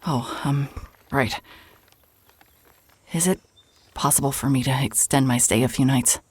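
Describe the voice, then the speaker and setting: A worn-out traveller in an audio drama. Her voice is low and husky, and she talks in halting, apologetic fragments, evasive and tired. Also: a little mellow, heavily acted. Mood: flustered, hesitant. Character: weary, evasive woman, a traveller staying at a motel. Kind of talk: naturalistic audio drama acting.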